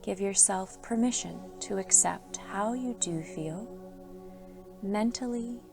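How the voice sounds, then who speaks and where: A woman yoga instructor leading a guided sleep meditation, giving soft, soothing instructions with calm pauses. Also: medium pitch, gravelly. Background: soft background music.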